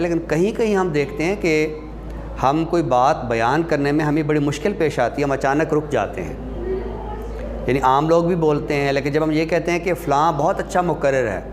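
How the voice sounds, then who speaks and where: A man giving an explanatory class lecture in a deliberate manner, pausing and posing questions that he then answers. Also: medium pitch, noisy background. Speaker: lecturer teaching Urdu rhetoric.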